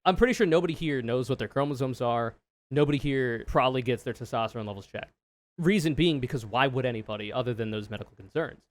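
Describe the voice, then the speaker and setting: A man with a low voice giving casual, opinionated reaction commentary, swearing freely and explaining in loose run-on sentences. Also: fast talker, no echo. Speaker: streamer reacting to a video.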